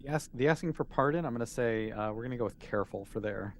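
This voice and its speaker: Informal male game master, medium pitch. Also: fast, a little hiss or hum. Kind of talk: casual game mastering, calling for rolls.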